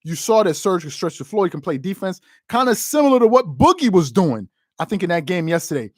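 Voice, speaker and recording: A man hosting a live sports talk stream, opinionated and emphatic, his pitch rising and falling a lot as he talks. He keeps repeating himself for emphasis as he reacts to viewers. The sound is dry and close, with no room around it. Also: sing-song, a little fast, darkish tone, dry recording. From United States. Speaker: sports show host.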